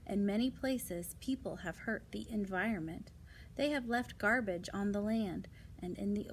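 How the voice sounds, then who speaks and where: Woman reading to children, noisy background. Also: mid-range voice. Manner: simple child-directed explanations and rhetorical questions. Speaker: read-aloud presenter for young children. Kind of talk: children's non-fiction read-aloud with casual asides.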